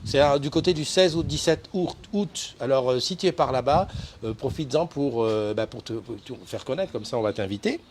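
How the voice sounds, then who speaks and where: Male host, live stream, easygoing chatter, clear background noise. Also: mid-range voice, brisk. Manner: chatty, greets viewers by name, laughs often. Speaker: morning show host. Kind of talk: casual live chat.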